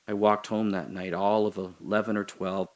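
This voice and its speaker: An actor playing a guilt-ridden trapped man in an audio drama monologue, halting and intense, confessing and pleading. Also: on the low side, little pitch movement, lightly acted. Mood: guilty, hushed. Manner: halting, intense, swinging from hushed confession to anguished cries.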